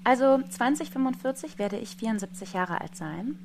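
A woman's voice: fairly high pitch, animated, normal speed, noisy background. Teasing woman in her forties. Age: 40s. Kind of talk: staged performance talk.

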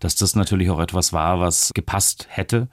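A man's voice: sits low, fairly even intonation, normal speed, no echo. A man from Germany, raised bilingual in German and Japanese, talking in detail and casually as a chef in an interview.

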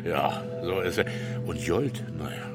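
An actor playing a shady, chummy wheeler-dealer in a radio drama, with folksy know-it-all patter. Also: male voice, sits low, unhurried. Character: shady, chummy wheeler-dealer man full of sly advice. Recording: loud music behind the voice.

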